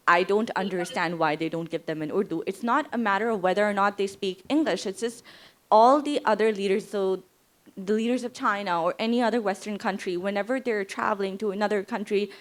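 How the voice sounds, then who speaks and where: A woman talks in a panel discussion as a teacher. Her delivery is earnest and unscripted, at a middle pitch. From Pakistan. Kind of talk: panel discussion, speaking off the cuff.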